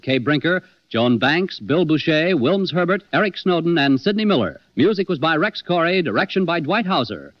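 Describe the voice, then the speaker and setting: A male announcer in the 1940s radio style. His voice is fairly high and very animated, on a dry, dull vintage recording. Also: dry recording.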